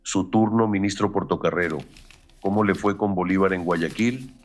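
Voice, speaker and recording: An actor playing a sober male president addressing his ministers, formal and measured in a historical audio drama. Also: sits low, steady tone, soft background music, close to an everyday voice. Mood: grave, resolute.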